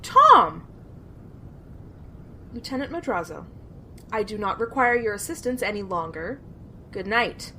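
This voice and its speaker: An actress playing a determined, troubled older sister in an audio drama, speaking in a formal, earnest way. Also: female voice, medium pitch, sing-song, a little slow, pauses often, bright, forceful tone, slight background noise. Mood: indignant. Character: distraught, proper, determined older sister of missing girl.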